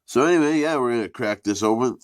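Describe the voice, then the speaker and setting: A man's voice: medium pitch, fast, dry recording. A man with a stuffy, congested voice giving a profane, rambling, laid-back casual review. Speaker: product reviewer.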